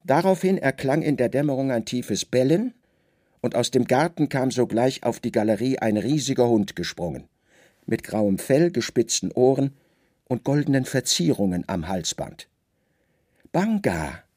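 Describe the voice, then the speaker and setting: A man narrating a literary radio play with dramatic, deliberate storytelling in short phrases. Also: mid-range voice, expressive, warm tone, dry recording, clean studio sound.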